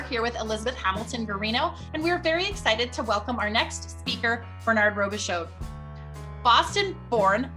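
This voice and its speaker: A woman's voice: on the high side, sharp tone. A woman reading a scripted introduction as the host of a show. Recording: music behind the voice. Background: music.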